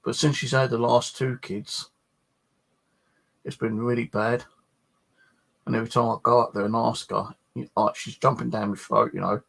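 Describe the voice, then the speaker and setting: A man's voice: medium pitch, level tone, moderate pace, gravelly, pauses often, fairly bright tone. A man chatting casually and ramblingly about his own life, grumbling and swearing in a colloquial way. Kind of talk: casual rambling personal chat.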